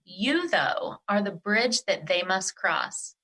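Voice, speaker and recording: A woman playing a wise, nurturing earth spirit in an audio drama, speaking in a formal, measured, reassuring way. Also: medium pitch, expressive, bright, forceful tone, heavily acted. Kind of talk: theatrical audio drama acting.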